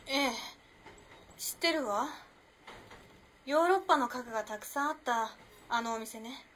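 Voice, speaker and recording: A woman's voice: on the high side, normal speed, fairly bright tone, natural voice. An actress playing a teasing, self-possessed, mysterious modern girl of the 1910s in a radio drama, using old-fashioned feminine speech. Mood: coy. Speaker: actor in amateur radio drama. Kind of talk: Japanese radio drama acting, storytelling. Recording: noisy background ambience.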